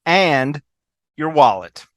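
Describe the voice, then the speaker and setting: A woman plays a prickly, blunt woman from a TV comedy script. Her low voice moves through big swings of pitch at an unhurried pace, recorded dry. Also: sing-song, no room sound, exaggerated voice. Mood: irritated. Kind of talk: fan table read of a TV comedy.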